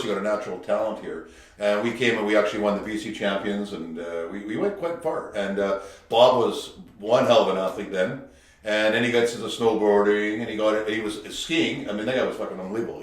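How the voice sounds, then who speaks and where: A Canadian man hosting a podcast, chatting casually and telling brash, animated stories with plenty of swearing. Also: lowish voice, brisk. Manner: brash, animated storyteller who swears freely. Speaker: podcast host. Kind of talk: casual interview chat. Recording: very echoey room.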